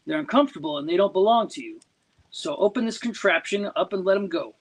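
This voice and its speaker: A man's voice: high-pitched, lively intonation, fast, slightly rough, pitched above the performer's own voice, rough recording. A man voicing a surly, threatening old male sea serpent in a recited verse tale.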